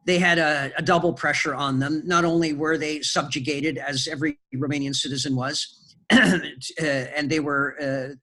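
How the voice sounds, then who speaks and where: A man's voice: a little high, steady tone, normal speed, bright, forceful tone. Man, talking with many fillers. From Canada. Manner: hesitant, many fillers and restarts. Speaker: interview guest, film producer. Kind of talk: conversational interview storytelling.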